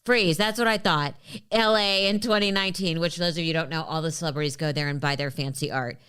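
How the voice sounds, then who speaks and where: Clear-voiced woman podcast host. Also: deep voice, steady tone, fast, fairly bright tone. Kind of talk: podcast introduction and conversational interview.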